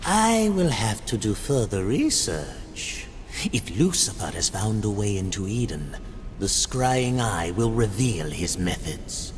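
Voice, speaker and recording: A man playing a deliberate, erudite keeper of arcane secrets in a video game. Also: fairly low pitch, big pitch swings, slight background noise. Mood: intrigued, calculating. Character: measured, scholarly male keeper of arcane secrets. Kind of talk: video game character acting.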